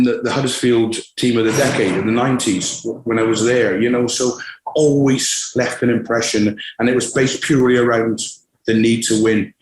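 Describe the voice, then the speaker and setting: A man's voice: medium pitch, roomy sound, rough recording. Scottish-born man with a West Country accent. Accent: West Country English. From Scotland. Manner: frank, reflective, many restarts and fillers. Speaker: football manager guest. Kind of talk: candid interview.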